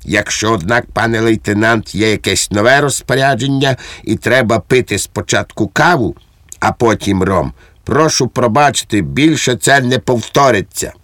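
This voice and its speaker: A man's voice: mid-range voice, normal speed, fairly bright tone, no echo, studio quality, voice pushed up, lightly acted. A man voicing an unflappable, naively obedient common soldier who rambles earnestly in an audiobook. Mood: untroubled, earnest. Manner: earnest, untroubled, long-winded. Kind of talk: audiobook character acting.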